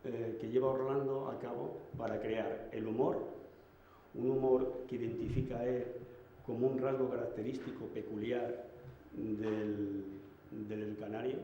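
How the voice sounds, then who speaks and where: A man's voice: medium pitch, flat delivery, dull audio. Man lecturing in an echoey hall. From Madrid. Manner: measured, hesitant with fillers. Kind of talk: public lecture. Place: municipal hall.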